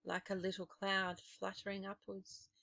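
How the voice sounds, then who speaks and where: A woman narrating a children's novel aloud in a deliberate, expressive, dramatic storytelling style. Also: medium pitch, vocal fry, dry recording. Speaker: storybook reader.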